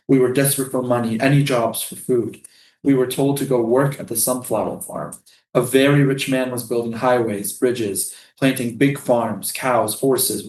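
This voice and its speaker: A man's voice: medium pitch, a little mellow, natural voice. Echoey weary male prisoner read by an actor. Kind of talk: staged play reading. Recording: roomy, echoing stage sound.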